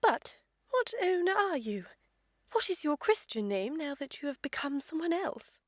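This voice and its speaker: A woman's voice: sits high, sing-song, measured pace, no room sound, clean studio sound. A woman playing a romantic, self-assured young society lady in a period radio comedy, with an affected, dramatic poise. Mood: passionate.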